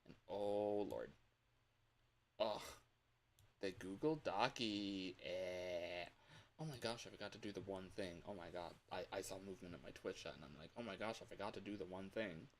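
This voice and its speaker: A man chatting casually to livestream viewers in an excitable, scattered, playful way, with frequent exclamations. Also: sits low, moderate pace, a little hiss or hum. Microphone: external microphone.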